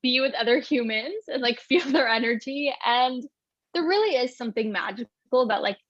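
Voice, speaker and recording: A woman in her twenties talks breezily about her music, bubbly and quick to laugh, filling every pause with "like." Her voice comes through muffled and lo-fi, with a dead dry sound like a video call. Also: a little high, lively intonation, a bit bright, no room sound. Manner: bubbly, says "like" constantly, laughs often. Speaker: singer-songwriter guest. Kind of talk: casual interview chat.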